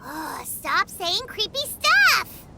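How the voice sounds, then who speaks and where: An actress voicing a small, chirpy and blunt fairy companion in a game, with a squeaky, complaining voice. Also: female voice, huge pitch swings, slow, creaky, heavy background noise, exaggerated voice. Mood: indignant. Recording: game audio with loud music behind.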